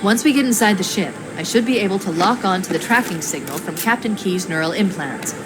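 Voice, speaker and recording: An actress playing a witty, self-assured artificial intelligence in a game cutscene, crisp and dryly sardonic. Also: female voice, medium pitch, expressive, fairly quick, background noise, natural voice. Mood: focused. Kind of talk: video game cutscene acting.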